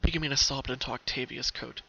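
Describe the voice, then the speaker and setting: A man's voice: mid-range voice, steady tone, fast, gravelly, sharp tone, breathy edge. A man narrating a story as a dramatic reading. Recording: dull, lo-fi home recording.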